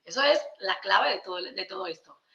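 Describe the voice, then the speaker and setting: A woman's voice: medium pitch, lively intonation, brisk, sharp tone. A woman with a Dominican accent talking conversationally and emphatically as a podcast guest, explaining spiritual ideas. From Dominican Republic. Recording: roomy echo, slightly dull sound.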